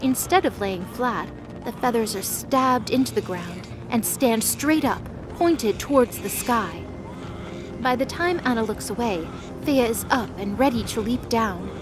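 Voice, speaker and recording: A woman's voice: a little high, animated, measured pace, noisy background. A woman narrator reading a scripted mythological drama as story narration. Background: music.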